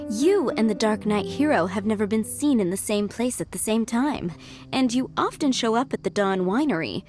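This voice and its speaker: A woman's voice: a little high, darkish tone. A woman playing a composed, courteous head maid in a video game, speaking politely and measuredly with a wry, pointed edge. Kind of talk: video game character acting. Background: background music.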